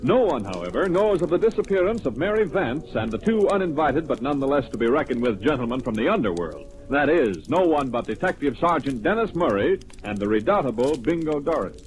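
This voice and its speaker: A man's voice: mid-range voice, sing-song, brisk, few pauses, lightly acted, poor audio. A man acting as a florid, theatrical mystery narrator in 1940s radio style. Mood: dramatic.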